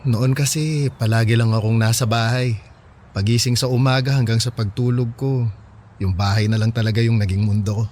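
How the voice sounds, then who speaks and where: Man acting a shy young Filipino guy, mid-pitched. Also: fairly even intonation, fairly quick, darkish tone, a little hiss or hum, natural voice. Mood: shy, earnest. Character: shy, nerdy, lonely young man, devoted fan. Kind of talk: Filipino radio drama acting.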